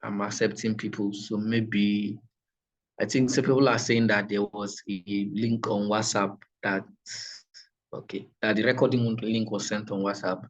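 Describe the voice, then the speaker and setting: A man with a fairly low voice teaches an online class. He talks in a loose, chatty way, full of ums and restarts. He is heard through a computer mic on a video call. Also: a little slow, poor audio. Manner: relaxed, chatty, many fillers and restarts.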